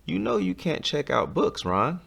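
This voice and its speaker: A man's voice: on the high side, big pitch swings, unhurried, gravelly, a bit bright, slightly rough, pitched above the performer's own voice, exaggerated voice. A man voicing an orderly woman librarian in a children's story, firm and matter-of-fact.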